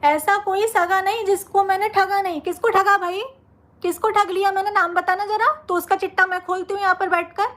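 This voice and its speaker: A woman's voice: very high-pitched, steady tone, fairly quick, a bit bright. A woman vlogger speaking confrontationally to her social media audience, indignant and combative, hammering points through repetition.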